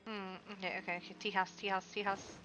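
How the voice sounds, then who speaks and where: A woman's voice: mid-range voice, little pitch movement, fast, bright, forceful tone, faint music behind, rough recording. A woman streamer giving casual commentary while she plays, muttering to herself in a playful, rambling way.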